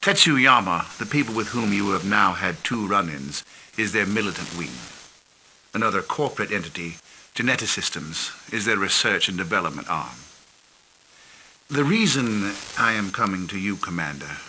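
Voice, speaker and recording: A grave, measured spymaster. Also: male voice, lowish voice, lively intonation, sharp tone, slight background noise, full character voice, poor audio. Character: grave older male spymaster, measured and worried. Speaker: head of a private intelligence group. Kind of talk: scripted game cinematic.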